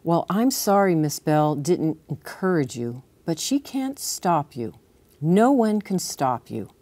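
A woman's voice: low voice, big pitch swings, slow, warm tone, no room sound, full character voice. An American woman voicing a loving, encouraging mother in a storybook, with reassuring, emphatic phrasing. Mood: comforting. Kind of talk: storybook character reading.

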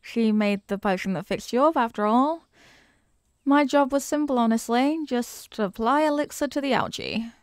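Woman playing a bubbly, high-voiced young apprentice witch. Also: normal speed, smooth, no echo, clean studio sound, natural voice. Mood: modest. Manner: bubbly, teasing, expressive, addressing the listener. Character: young eager, chatty, kindly apprentice witch. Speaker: ASMR roleplay voice performer. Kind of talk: ASMR character roleplay, scripted.

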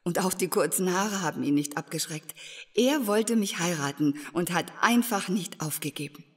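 Young heiress, slightly rough voice, bitter, resolute, Victorian radio play. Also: female voice, lowish voice, lively intonation, normal speed. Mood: aggrieved, recounting. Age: young adult. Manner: earnest, embittered storytelling. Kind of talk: German audio drama acting.

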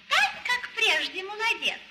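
Женщина озвучивает гордую, властную молодую царевну из мультсказки, размеренно и театрально декламируя стихами. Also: female voice, extremely high pitch, very animated, background noise, exaggerated voice. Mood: coy, commanding. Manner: measured, theatrical rhymed declamation. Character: proud young fairy-tale princess, coolly commanding.

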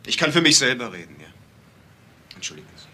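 An actor playing a bitter widowed bodyguard in a German crime radio drama. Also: male voice, medium pitch, big pitch swings, fast, bright, forceful tone. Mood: guarded, bitter. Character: bitter widowed male bodyguard facing police questions.

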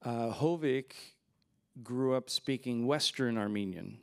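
Gravelly man telling stories on stage. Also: mid-range voice, slow. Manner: relaxed, rambling anecdotes with fillers and laughs. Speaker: filmmaker guest. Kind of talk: festival Q&A storytelling. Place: festival stage in front of a backdrop. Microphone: handheld mic.

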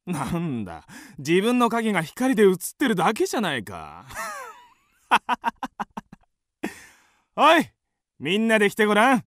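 A man's voice: high-pitched, wildly swooping pitch, slow pace, long pauses, fairly bright tone, no room sound, clean studio sound, exaggerated voice. An actor playing an easygoing husband and father in a radio drama, with a light-hearted manner. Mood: playful. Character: easygoing, joking family man, husband and father.

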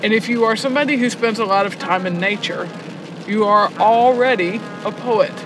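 A woman's voice: moderate pace, music in the background. A woman talking casually in an interview, emphatic and reflective, making her points like a teacher. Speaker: head of a prison arts education program, poetry teacher. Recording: noisy location recording, background clatter and rumble.

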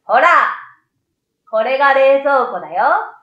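A woman's voice: high-pitched, lively intonation, slow, echoey room, lo-fi sound. A woman voicing a wide-eyed, friendly young boy in a storybook, with childlike casual speech. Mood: friendly, explaining. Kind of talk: storybook read-aloud.

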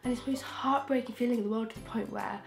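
A young woman from England, croaky from a throat infection, chatting frankly and rambling with restarts as a beauty vlogger in her bedroom. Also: a little high, steady tone, fast. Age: young adult. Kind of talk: casual chatty vlog. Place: bedroom, indoors. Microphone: camera in front, close framing.

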